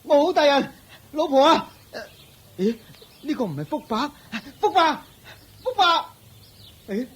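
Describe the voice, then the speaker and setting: An actor playing a poor, timid, henpecked husband in a radio drama, pleading and stammering in a Hong Kong Cantonese accent. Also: male voice, very high voice, big pitch swings, unhurried, long pauses, exaggerated voice. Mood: distraught, pleading.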